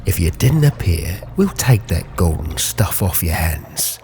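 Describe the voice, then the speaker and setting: A man voicing a wordy, falsely friendly gangster dealer in a theatrical, flattering manner for a story. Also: low-pitched, measured pace. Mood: oily, effusive. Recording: music bed behind the voice.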